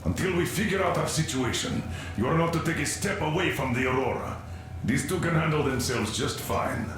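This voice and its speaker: An actor playing a stern older military colonel in a video game. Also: male voice, on the high side, animated, a little fast, close to an everyday voice. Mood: measured, commanding. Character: stern, commanding older military colonel leading a train crew. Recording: very echoey, noisy ambience behind.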